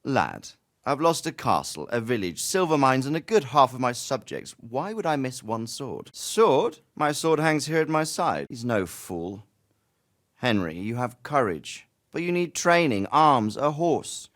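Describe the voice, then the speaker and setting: An actor playing a stern, authoritative middle-aged lord in a medieval video game, speaking in a formal, measured way. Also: male voice, mid-range voice, sing-song, fairly bright tone. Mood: sardonic.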